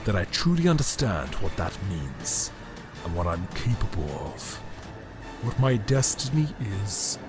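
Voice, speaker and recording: A man playing a bitter, megalomaniac ancient emperor without a body, delivering a grandiose, theatrical monologue with dramatic pauses in an audio drama. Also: on the low side, big pitch swings, over music. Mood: scheming, menacing. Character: bitter, megalomaniac male emperor, ancient disembodied time-travelling being.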